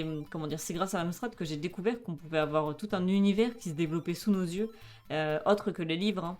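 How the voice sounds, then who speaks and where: Young woman live streamer, lowish voice, casual chatty, lots of fillers, faint music behind. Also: a little mellow. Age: 20s. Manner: chatty, many fillers, reads chat aloud and laughs often.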